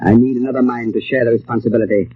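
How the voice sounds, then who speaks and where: A man playing a grandiose, menacing villain in a 1940s radio drama, speaking in a slow, pompous, deliberate way. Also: medium pitch, steady tone, husky, close to an everyday voice. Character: grandiose, menacing male villain plotting world chaos. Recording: muffled old radio recording.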